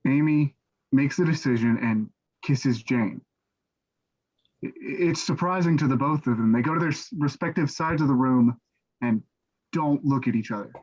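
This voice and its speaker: Guy narrating stage directions for a play reading, average pitch, kinda muffled lo-fi audio. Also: normal speed, a little mellow.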